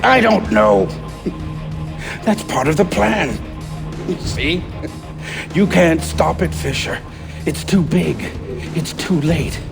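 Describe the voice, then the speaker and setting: An actor playing a smug, defiant male villain under interrogation, taunting and condescending in a gravelly voice. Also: fairly high pitch, lively intonation, takes it slowly, pauses often, full character voice. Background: music.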